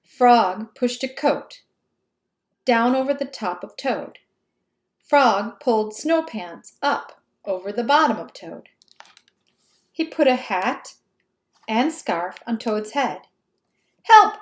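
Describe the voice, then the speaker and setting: A woman reading a children's storybook aloud in an expressive, emphatic narrating style. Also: on the high side, takes it slowly, long pauses. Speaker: storybook reader.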